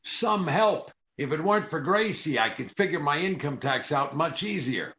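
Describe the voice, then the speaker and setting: A man playing a wry, long-suffering straight-man husband in 1940s radio comedy style, gravelly, dry and hesitant. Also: on the high side, moderate pace. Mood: exasperated. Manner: dry, hesitant, deadpan delivery. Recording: dull lo-fi audio.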